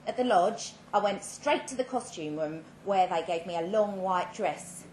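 A woman giving a measured, dramatic reading of a first-person short story at a live event, with pointed pauses. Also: mid-range voice, lively intonation, darkish tone. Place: indoor live literary event. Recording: roomy live venue, clear background noise.

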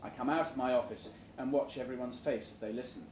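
A man reading a first-person short story aloud at a live event in a measured, dry manner. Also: medium pitch, fairly even intonation, a little mellow. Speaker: story reader. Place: indoor live reading event before an audience. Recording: roomy live venue, background noise.

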